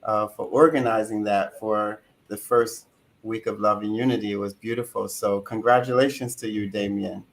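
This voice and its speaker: A man co-hosting a community panel, effusive and quick with praise. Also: lowish voice, little pitch movement. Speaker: co-host.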